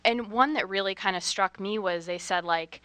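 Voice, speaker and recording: A woman's voice: medium pitch, moderate pace, sharp tone, clean tone, no echo. A woman in a casual discussion, explaining her points conversationally and often saying "like". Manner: explanatory, peppered with "like". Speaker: participant answering the host.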